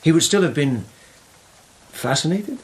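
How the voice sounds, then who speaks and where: An actor playing a shrewd court investigator in a radio period drama, measured, wry and insinuating. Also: male voice, a little high, expressive, rough recording. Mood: sardonic.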